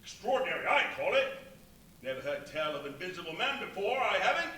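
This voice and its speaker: A man playing a chatty, gullible working-class mariner, animated and rambling in a live radio play. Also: high voice, fairly quick, exaggerated voice. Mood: amazed, gossipy. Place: live stage performance in a brewery venue. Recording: echoey, noisy live venue, far from mic.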